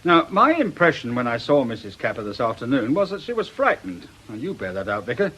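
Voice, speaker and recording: An actor with a British accent playing a polite, measured, probing police detective in a radio drama. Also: male voice, medium pitch, very animated, pushed and bright, breathy edge, heavily acted, low-quality recording.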